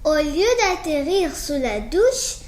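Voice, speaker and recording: A woman reading a children's story aloud in an animated way, slowly and with small stumbles. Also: sits high. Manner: slow, halting reading with stumbles. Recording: roomy echo with faint background noise.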